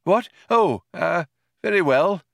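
Man acting a proud, slow-spoken old man. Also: on the high side, big pitch swings, sharp tone, dry recording, studio quality, voice pushed up, full character voice. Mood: flustered, polite. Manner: hesitant, courteous, indignant when slighted. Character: old man, polite, easily affronted, proud craftsman.

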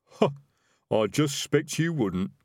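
A man playing a cautious, chatty highwayman in a storybook reading, matter-of-fact and methodical. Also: lively intonation, a little slow, darkish tone, no room sound, clean studio sound. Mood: relieved, threatening.

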